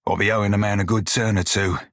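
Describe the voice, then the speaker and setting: An actor playing a gruff, foul-mouthed furry pirate shipmate in a video game, with a rough-edged voice and wry, plain-spoken salty sailor's dialect. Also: male voice, lowish voice, flat delivery, fast, a bit bright, dry recording, studio quality, lightly acted. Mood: guarded, sincere. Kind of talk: video game character dialogue.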